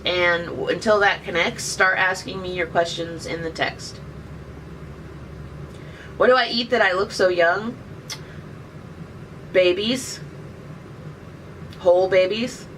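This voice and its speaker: A woman's voice: on the low side, a bit bright. A woman in her thirties chatting casually on a live stream and answering viewer questions with jokes. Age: 30s. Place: indoors by a window. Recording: distant webcam mic, faint background noise.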